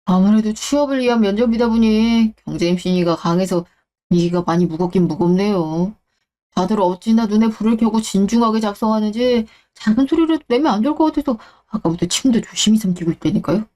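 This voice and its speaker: A woman's voice: medium pitch, a little fast, dark tone, close to an everyday voice. A woman voicing a scheming middle-aged man in a radio drama, muttering to himself and acting falsely friendly. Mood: fake friendly. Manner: smug, muttering to himself, then fake-friendly.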